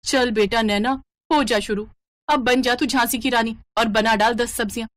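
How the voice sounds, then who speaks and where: A woman's voice: on the high side, fairly even intonation, moderate pace, fairly bright tone, natural voice. Woman acting a dramatic grumbling young daughter-in-law. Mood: exasperated. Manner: exasperated, self-pitying muttering.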